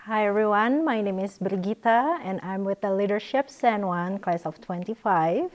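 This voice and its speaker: A woman's voice: mid-range voice, moderate pace. A woman with a mid-range voice co-hosts a podcast. She talks hesitantly, with many fillers and restarted phrases. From Indonesia. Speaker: co-host.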